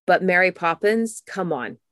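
An American woman in casual podcast chat who also reads a book aloud with animated storytelling. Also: lowish voice, a little slow, no echo, clean studio sound.

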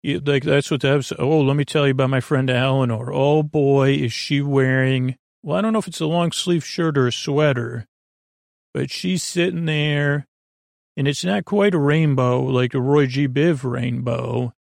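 A man hosting a bedtime podcast in a meandering, halting monologue, trailing off mid-sentence and gently reassuring the listener. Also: medium pitch, fairly even intonation, creaky, no room sound, studio quality. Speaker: podcast host.

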